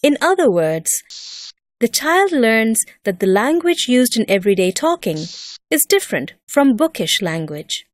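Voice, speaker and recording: A woman narrating a scripted educational lesson in a measured, deliberate and expressive way, like a teacher explaining step by step. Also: on the high side, no room sound.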